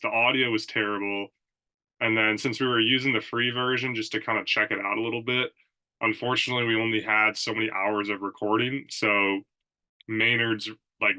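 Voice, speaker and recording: A young man speaks in a somewhat low register, nasal and stuffed up from allergies. His tone is bright and forceful. He rambles casually over a Zoom recording, leaning on filler words. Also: low-quality recording. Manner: rambling, filler-heavy, says "like" and "dude" often. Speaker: podcast host and strength coach. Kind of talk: casual solo podcast talk.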